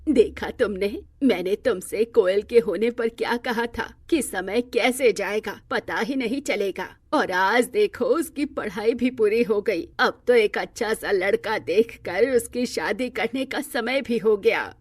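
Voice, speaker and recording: A woman's voice: mid-range voice, measured pace, hardly any pauses, breathy edge, no echo. A woman playing an affectionate, eager old grandmother in a cartoon moral story, speaking in an emphatic, coaxing way.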